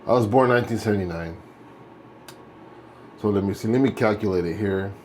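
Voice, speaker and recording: A man's voice: on the low side, fairly quick, a little mellow, a little hiss or hum. A man in his late forties chatting casually while shaving, rambling and correcting himself as he goes. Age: 40s. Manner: rambling, self-correcting, laid-back. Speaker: vlogger.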